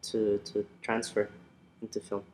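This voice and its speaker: A young man who grew up in Saudi Arabia, a photographer talking as a podcast guest in a relaxed, chatty way full of restarts and slang. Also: normal speed, roomy sound, low-quality recording. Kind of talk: casual podcast chat.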